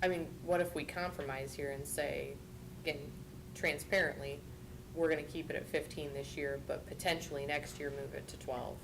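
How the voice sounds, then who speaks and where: A woman with a very low, flat, gravelly voice speaks on a school board in an earnest, proposing way. Noise and rumble sit clearly behind her. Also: normal speed. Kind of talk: public meeting discussion. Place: school board meeting room.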